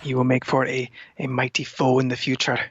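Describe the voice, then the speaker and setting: A performer voicing a vain, scheming talking cat with a halting, pompous manner on a comedy podcast. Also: mid-range voice, monotone, husky, dull audio. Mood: coolly scheming. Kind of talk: improvised comedy podcast interview in character.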